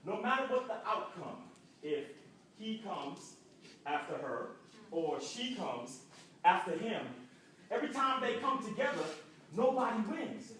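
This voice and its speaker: A man's voice: high voice, very animated, measured pace, pauses often, fairly bright tone, a little hiss or hum. A young man from Jamaica performing rhythmic, rhyming spoken word poetry at an arts center. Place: indoor arts center hall. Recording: echoey room from far off.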